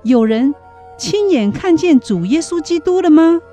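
Solemn woman narrator, slow and expressive. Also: high-pitched, faint music behind. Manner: solemn, reverent. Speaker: narrator and announcer. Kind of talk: dramatic scripted narration.

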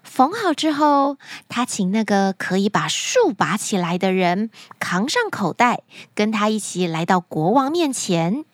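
A woman narrating children's stories as a podcast host, expressive and speaking directly to young listeners. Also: medium pitch, unhurried, few pauses, no echo, clean studio sound. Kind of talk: animated storytelling narration for children.

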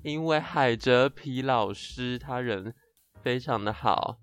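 A young man with a Taiwanese Mandarin accent co-hosts a podcast. His voice sits at an average male pitch as he spins comic anecdotes with playful exaggeration. Light music plays underneath. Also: slow. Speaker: podcast co-host. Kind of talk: chatty comic storytelling in a two-host podcast.

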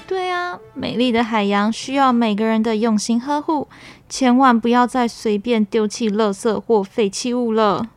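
A woman's voice: a little high, moderate pace, dry recording, faint music behind. A young woman with a Taiwanese Mandarin accent, co-hosting a scripted student radio programme. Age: young adult.

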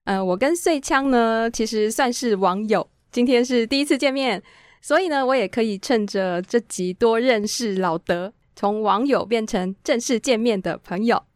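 A woman's voice: on the high side, moderate pace. A woman with a Taiwanese Mandarin accent who hosts a radio show, presenting scripted introductions and interviewing a guest. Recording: music playing behind the voice. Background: music.

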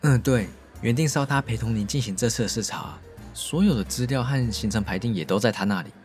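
A man's voice: mid-range voice, fairly quick, darkish tone, soft background music, close to an everyday voice. A man voicing an eager, scheming male office coworker with an ingratiating manner. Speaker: voice actor in an audio drama.

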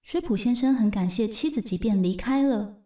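A woman's voice: on the high side, expressive, moderate pace, mellow, natural voice. A woman narrating a radio drama as a composed storyteller. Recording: roomy, slightly distant voice.

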